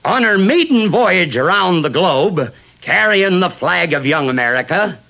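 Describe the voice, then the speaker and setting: A man's voice: sits high, expressive, measured pace, vocal fry, bright, forceful tone. A man narrating a vintage film in an old-fashioned newsreel style, grand and ceremonious.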